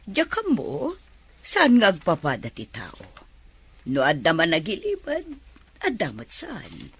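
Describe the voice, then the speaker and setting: A woman playing a worried, cautioning mother with a husky voice in a radio drama. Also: low voice, huge pitch swings, measured pace, a bit bright, heavily acted. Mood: admonishing.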